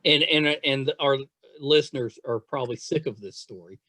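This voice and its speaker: A man's voice: mid-range voice, moderate pace, pushed and bright, dry recording. A man hosting a casual interview podcast, chatting in an effusive, rambling, self-deprecating way. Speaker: podcast host.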